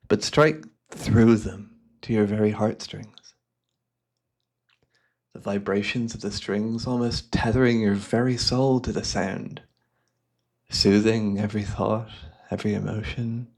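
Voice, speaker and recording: A man playing a devious, cultured hypnotist and collector in a fantasy ASMR roleplay, speaking in florid, theatrical phrases with a softly coaxing manner. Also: fairly low pitch, creaky. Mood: entranced, soothing.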